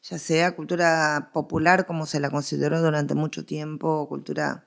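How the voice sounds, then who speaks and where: Argentine woman explaining, with a creaky lowish voice. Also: flat delivery, normal speed. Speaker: interviewed historian and guest expert. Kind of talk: conversational scholarly interview.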